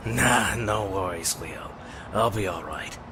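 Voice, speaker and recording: A cool, streetwise turtle brother acted by a man talks in a laconic, teasing way. The voice is deep, with clear noise in the background. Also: slow pace. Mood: teasing, reassuring. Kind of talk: dramatic fan audio drama acting.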